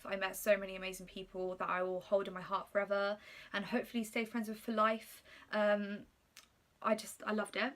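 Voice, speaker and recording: A woman's voice: medium pitch, pushed and bright. A woman in her twenties with Polish as her first language, chatting casually as she reads out and answers get-to-know-me questions with plenty of ums. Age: 20s. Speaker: YouTuber.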